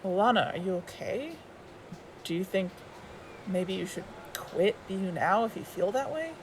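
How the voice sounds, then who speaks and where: An actress playing a quiet, guarded woman who asks tentative, probing questions in a naturalistic audio drama. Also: medium pitch, expressive, normal speed, noisy background, natural voice. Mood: concerned. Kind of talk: naturalistic audio fiction acting.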